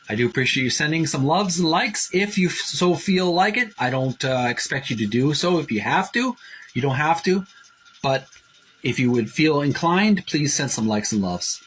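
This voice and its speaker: A man's voice: medium pitch, sharp tone, slight background noise, low-quality recording. A middle-aged man hosting a casual video, describing box art in a rambling, hesitant way with many fillers and restarts, and calling his viewers folks. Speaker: host.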